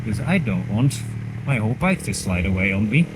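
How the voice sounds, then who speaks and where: A man's voice: fairly low pitch, big pitch swings, fairly quick. A man chatting casually to the camera while riding a motorcycle, rambling and poking fun at himself, recorded on a helmet mic. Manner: chatty, rambling, self-mocking. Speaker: motorcycle vlogger. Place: on a motorcycle on a country road outdoors. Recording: helmet mic with clear engine noise. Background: engine and wind noise.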